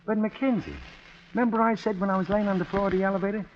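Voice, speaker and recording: Actor as high-voiced, folksy American working guy, old radio. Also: steady tone, quick pace, natural voice. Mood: puzzled. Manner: folksy, ungrammatical, slangy speech. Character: injured ordinary working man, plain-spoken and puzzled. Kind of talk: 1940s radio acting. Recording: muffled, noisy old radio broadcast.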